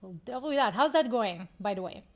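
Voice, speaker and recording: A woman with an Israeli accent talks through a casual live stream, upbeat and quick to laugh. Her voice sits a little higher than most women's. She speaks into a video call mic. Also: lively intonation. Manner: upbeat, laughs often, fillers.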